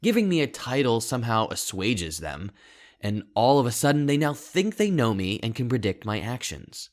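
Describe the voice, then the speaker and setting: A man voicing an obsessive, self-absorbed young outcast in a storybook monologue, deliberate and theatrical, with intense, hushed turns. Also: mid-range voice, big pitch swings, natural voice. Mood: contemptuous, defiant. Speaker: author reading own short story. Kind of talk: first-person dramatic monologue, scripted narration.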